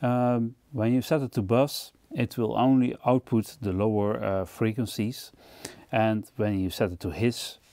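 A man presenting an instructional product overview in a measured, explanatory way. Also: on the low side, steady tone, mellow, no room sound. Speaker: presenter of music gear demo videos.

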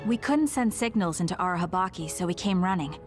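A woman's voice: fairly low pitch, animated, fast, natural voice. A young woman playing a composed, serious squad leader in an anime game. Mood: composed, explaining. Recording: music behind voice.

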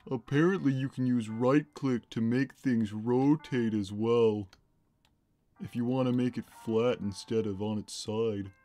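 A man voicing a huge, gentle, simple-minded cat who talks plainly and innocently on a gaming livestream. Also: medium pitch, fairly even intonation, no room sound, close to an everyday voice. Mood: placid. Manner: plain, slow, naive, reads chat messages aloud. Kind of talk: in-character gaming livestream chat.

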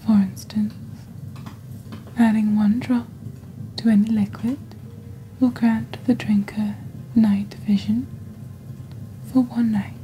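A woman voicing a witch who hosts a potion shop in soft, whispery, breathy ASMR, speaking slowly and deliberately in a measured sales patter. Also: a little high, flat delivery, slight background noise, low-quality recording. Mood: ominous, calm. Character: witch shop host peddling dark potions and poisons. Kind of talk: soft-spoken ASMR shopping-channel presentation.

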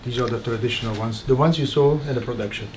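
Halting man leading a tasting. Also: medium pitch, a little hiss or hum. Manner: halting, many uh fillers and restarts. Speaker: caviar producer guide. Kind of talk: explaining on a guided tasting. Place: small tasting room indoors.

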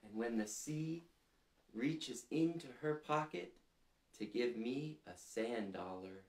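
A man in his thirties reading a picture book aloud to young children in a gentle, deliberate way, putting simple questions to them. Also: medium pitch, animated. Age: 30s. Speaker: storytime reader for children. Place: small indoor living room, seated. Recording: roomy echo.

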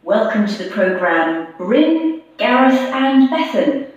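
Woman acting calm radio presenter lady, slow scripted delivery, really echoey speakers. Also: on the high side. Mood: composed. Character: composed female radio programme presenter. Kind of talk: scripted textbook radio interview. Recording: muffled echoey playback through classroom speakers.

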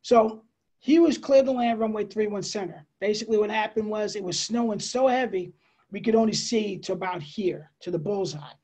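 A middle-aged man with an American accent explains slides as an air traffic controller, conversational and a bit halting, with pauses and asides. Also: high-pitched, a little mellow, rough recording. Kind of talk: informal slide presentation, explanatory. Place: indoors, on a video call.

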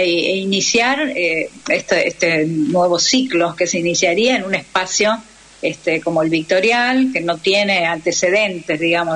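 A woman with an Argentine accent speaks in a fairly low voice. She talks conversationally, as a guest on a radio interview, explaining things and tagging her points with small checking questions. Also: fairly bright tone, low-quality recording.

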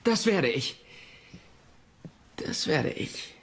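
A man's voice: fairly high pitch, sing-song, drawn-out words, in a whisper, exaggerated voice. A man playing an anxious, deferential English navy official in a period docudrama dub, polite and hesitant. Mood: worried, deferential. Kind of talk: dubbed period docudrama acting.

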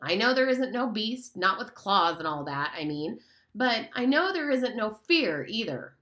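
Lady doing a fussy know-it-all kid in a storybook, prim and preachy, high bright voice. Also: sing-song, unhurried. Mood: lecturing. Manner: prim, lecturing. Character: fussy, earnest boy with glasses.

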